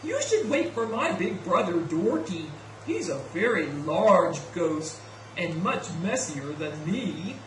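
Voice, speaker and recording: A woman voicing a silly, dopey ghost in a puppet show, with a goofy, halting delivery. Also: lowish voice, sing-song. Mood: nervous pleading. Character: silly, dopey, talkative bigger brother ghosts. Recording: echoey, distant, faintly noisy room.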